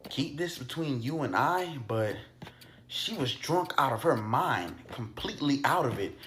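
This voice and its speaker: A man's voice: medium pitch, animated, unhurried, fairly bright tone, a little hiss or hum. A man playing an indignant, defensive father under suspicion, speaking emphatically in a puppet-show murder mystery. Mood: confiding.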